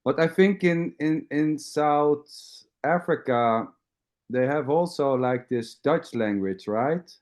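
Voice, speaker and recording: A man in a casual group chat who speaks in a slow, deliberate way. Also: a little high, darkish tone.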